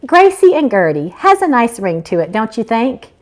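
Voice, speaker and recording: A woman's voice: a little high, sing-song, moderate pace, a little mellow. A woman voicing a cheeky, playful novice angel who is eager and teasing, in a storybook reading.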